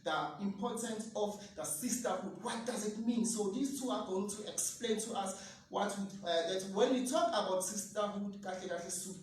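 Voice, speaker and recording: A South African man with a Zulu-speaking accent, hosting an online talk show in an energetic, hypey way and slipping into Zulu. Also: high-pitched, moderate pace. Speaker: host. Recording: echoey room, mic at a distance, light noise.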